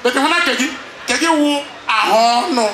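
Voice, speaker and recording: A man's voice: very high voice, a little fast, recorded from a distance. A man working as master of ceremonies, addressing guests in a showy, declamatory way. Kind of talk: loud event hosting. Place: noisy wedding celebration. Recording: echoey event PA with loud background noise.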